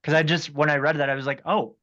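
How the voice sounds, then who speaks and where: A man's voice: fairly high pitch, fairly even intonation, fast, a bit bright. A man chatting casually and earnestly as an actor in a group video-call discussion, giving appreciative feedback. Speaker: actor in a table read discussion. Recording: dull video-call audio.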